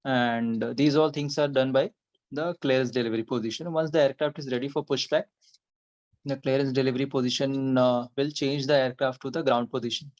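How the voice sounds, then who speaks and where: A man's voice: medium pitch, fairly even intonation, brisk, a little mellow, highs cut off. A man with an Indian accent, giving a methodical, step-by-step technical explanation as an interview guest. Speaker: air traffic controller, podcast guest.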